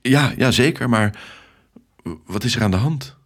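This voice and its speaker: Man playing an awkward, husky-voiced smitten fellow. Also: medium pitch, measured pace, a bit bright, pitched above the performer's own voice. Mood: uneasy. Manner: hesitant, stammering. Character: awkward, nervous, smitten young male office worker.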